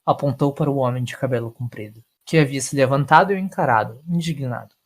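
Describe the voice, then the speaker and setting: A man's voice: mid-range voice, fast talker. A man narrating a scripted story in a dramatic storytelling style.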